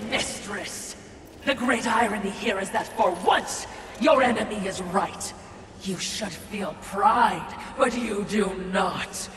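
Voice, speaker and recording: A mocking, sardonic servant creature in a video game, taunting with theatrical relish. The voice sits far from the mic in a heavily echoing hall. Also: female voice, fairly high pitch, a bit bright, slight background noise. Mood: mocking glee. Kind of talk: video game character acting. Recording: very echoey hall, distant from mic.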